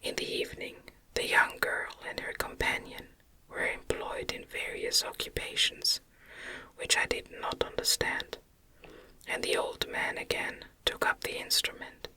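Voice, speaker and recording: A woman narrates classic gothic fiction in a breathy ASMR whisper, with no voiced tone at all. Her reading is gentle, measured and very slow. The whisper is extremely bright and crisp, spoken close to the mic. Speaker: audiobook narrator.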